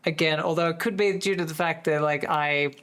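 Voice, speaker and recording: Hoarse streamer, casual live chat, rambling, easily sidetracked, many restarts. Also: mid-range voice, steady tone, brisk, a bit bright. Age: adult, working full-time. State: voice going hoarse.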